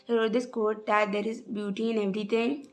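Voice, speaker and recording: A young woman with a slightly rough voice, hesitantly walking through her journal pages in a casual voice-over. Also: on the high side, flat delivery, a little fast, faint music behind. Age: young adult. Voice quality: hesitant, many "sort of" fillers. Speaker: journal vlogger. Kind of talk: casual craft walkthrough voice-over.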